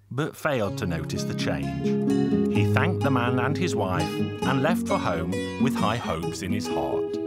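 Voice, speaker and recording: A man narrating a cartoon folk tale in an expressive, scripted storytelling manner. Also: lowish voice. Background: loud folk music.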